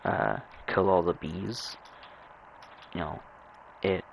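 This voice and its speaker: A young man with a low, gravelly voice talks casually as he plays a game. He rambles in a halting way, often stammering and repeating words. A faint noise sits under his voice on what sounds like a home microphone. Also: big pitch swings. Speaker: gamer narrating own gameplay. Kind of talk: rambling casual chat over gameplay.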